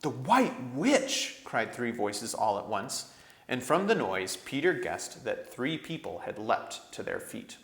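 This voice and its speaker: A man narrating a storybook aloud in an expressive, scripted read-aloud style. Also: on the low side, unhurried, creaky. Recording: echoey room.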